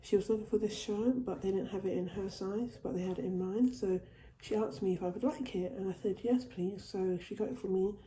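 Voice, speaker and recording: Woman, home vlogger, very dark tone, recovering from a cold, roomy echo. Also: medium pitch, steady tone, a little fast, few pauses, a little hiss or hum. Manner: chatty, repeats and restarts phrases. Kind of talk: casual haul video. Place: at home, indoors.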